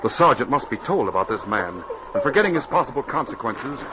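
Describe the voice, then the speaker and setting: Noisy dull old radio recording, male narrator, medium pitch, dramatic scripted storytelling. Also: very animated, quick pace.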